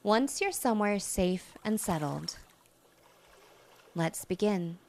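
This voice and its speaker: A woman hypnotist gently narrating a guided relaxation, soothing and unhurried, with long pauses between phrases. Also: mid-range voice, lively intonation, slight background noise.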